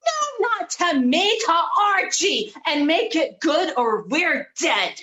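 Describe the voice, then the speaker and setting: Woman acting scornful scheming female, high voice with big swoops, deliberate pace, staged comedy. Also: sing-song, fairly bright tone, exaggerated voice. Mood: alarmed, urgent. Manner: condescending, emphatic. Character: exasperated, scornful, scheming woman berating her dim partner.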